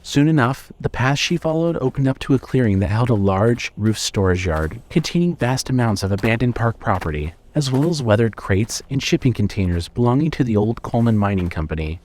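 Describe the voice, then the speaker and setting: A man's voice: mid-range voice, animated, brisk, hardly any pauses, darkish tone. A man narrating a scripted horror story as the narrator of an audio drama.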